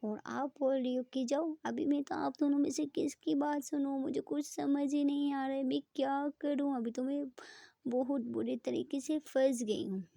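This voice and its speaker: A soft-spoken, teasing young woman coaxes her partner, played by a woman narrator. She speaks in a fairly high, level voice with a gravelly, warm tone. The sound is dry and close. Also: steady tone, a little slow, no room sound. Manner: coaxing, playful, soft.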